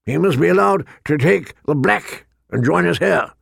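A man narrating an audiobook, voicing a gruff, grumbling, weary old lord commander with a growling, rumbling voice. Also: expressive, normal speed, no echo, clean studio sound, exaggerated voice. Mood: grave, measured. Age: older.

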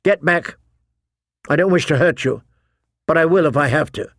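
A man's voice: fairly high pitch, moderate pace, no echo, clean studio sound, close to an everyday voice. Man acting a defiant, anguished young swordsman. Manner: fervent, demanding.

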